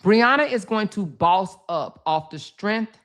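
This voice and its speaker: A woman's voice: mid-range voice, lively intonation, measured pace, a bit bright. A woman hosting her own commentary channel, talking bluntly and profanely, hammering points home by repeating them. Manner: blunt, profane, emphatic with repetition. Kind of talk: casual social media commentary.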